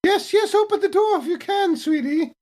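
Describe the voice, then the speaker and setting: A woman's voice: very high voice, lively intonation, moderate pace, darkish tone, pitched above the performer's own voice. A woman voicing a sweet, fussy, fretful little old lady in a tabletop game, exclaiming and dithering. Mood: flustered, sweet.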